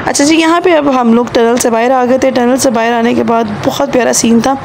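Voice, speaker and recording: A woman in her thirties with a Pakistani Urdu accent narrates a family road trip for her vlog. Her slightly high voice is hoarse from a sore throat, and she talks in a chatty way, repeating phrases for emphasis. Clear noise runs under the voice-over. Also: fairly even intonation, brisk, a little mellow. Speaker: travel vlogger.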